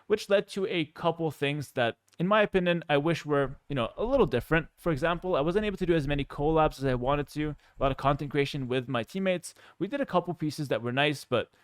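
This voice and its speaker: A man talks candidly, as a creator addressing his viewers. His delivery is very quick and his voice is clean and smooth, at a medium pitch. The sound is dry and close, and he explains himself in a reflective, conversational way. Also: no room sound. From Canada. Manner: measured, candid, explanatory, with frequent 'you know' asides. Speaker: professional gamer and content creator. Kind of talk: personal talk to viewers, reflective monologue.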